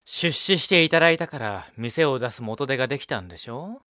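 An actor playing a young café assistant in an audio drama, speaking formally, courteously and without getting ruffled. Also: male voice, medium pitch, expressive, fairly quick, no echo, clean studio sound. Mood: matter-of-fact. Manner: courteous formal speech, gently unruffled. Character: polite, composed young male café assistant. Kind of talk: Japanese audio drama acting.

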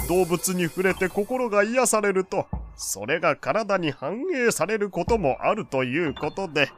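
A proud old gentleman, long-winded and doting. His voice sits a little high and swings animatedly, with pompous, old-fashioned phrasing. It is audio drama with music behind him. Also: lively intonation, a little slow. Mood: fond, proud. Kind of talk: Japanese audio drama acting.

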